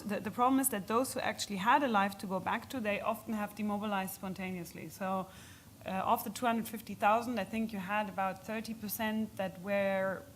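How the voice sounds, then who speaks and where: A woman giving halting, explanatory answers as an expert speaker in an unscripted question session at a policy event. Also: mid-range voice, slight background noise.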